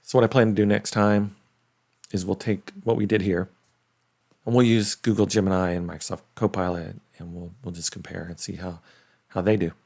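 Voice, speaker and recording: A man's voice: low voice, little pitch movement, normal speed, creaky, a little mellow. A middle-aged man, hosting a tech series with casual, unscripted demo commentary. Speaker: host of a tech tutorial series.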